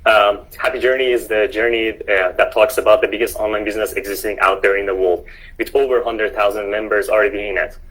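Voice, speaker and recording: A man's voice: on the low side, little pitch movement, brisk, a bit bright, low-quality recording. A man hosting a casual online interview, speaking hesitantly with many fillers and restarts. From Canada. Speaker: host.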